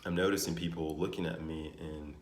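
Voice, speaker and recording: A young man with a naturally deep voice, talking casually to his vlog audience in a relaxed, rambling way with many fillers. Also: fairly quick. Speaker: vlogger. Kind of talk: casual vlog talk to camera. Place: home in Denver. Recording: echoey room with faint ambience.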